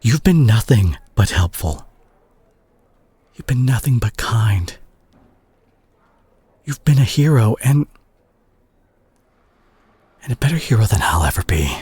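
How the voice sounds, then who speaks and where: A man playing a protective, guilt-ridden brawler superhero in an intimate audio drama, speaking earnestly with a husky, breathy voice. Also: mid-range voice, sing-song, darkish tone, no echo, exaggerated voice. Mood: impassioned, reproachful. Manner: earnest, emotional, halting delivery with sighs. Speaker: audio roleplay voice actor.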